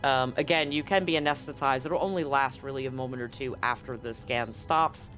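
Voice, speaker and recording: A man's voice: medium pitch, steady tone, fairly quick, fairly bright tone. A man narrating a live tabletop role-playing game as game master, explaining things conversationally. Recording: music playing behind the voice. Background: music.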